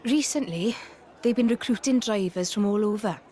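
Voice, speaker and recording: A woman's voice: medium pitch, moderate pace, warm tone, close to an everyday voice. Woman playing a blunt, feisty young woman. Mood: dry, resigned. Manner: blunt, colloquial British slang. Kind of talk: video game cutscene acting. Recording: music and crowd behind the voice.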